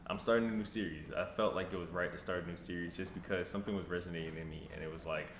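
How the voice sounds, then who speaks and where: A man in his mid-twenties, talking casually to camera as a vlogger, rambling and repeating himself in a relaxed way. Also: deep voice, very fast. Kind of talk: casual vlog talk to camera. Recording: echoey room with background noise.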